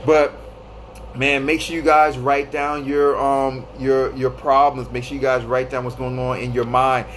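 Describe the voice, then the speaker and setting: Brief: male live-stream host, thirties, mid-pitched and easygoing, repeats himself, faint hiss behind. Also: level tone, unhurried. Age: 30s. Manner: loose and repetitive, greeting viewers by name. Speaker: livestream host. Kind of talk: casual live-stream advice talk.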